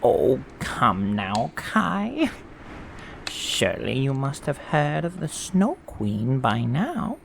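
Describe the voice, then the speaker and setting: Lady doing a kindly old granny storyteller, slow and swoopy, bit of noise and reverb. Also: extremely low pitch, huge pitch swings, creaky, heavily acted. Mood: gentle. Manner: gentle, unhurried storyteller. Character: kindly, storytelling elderly grandmother. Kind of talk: audio drama acting. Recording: echoey reverberant room with noise.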